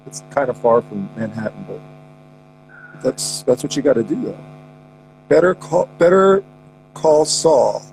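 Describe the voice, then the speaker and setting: A man's voice: mid-range voice, expressive, takes it slowly, creaky, pauses often, over music, low-quality recording. A man hosting a casual podcast chat, joking around with lots of restarts and goofy asides. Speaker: podcast host.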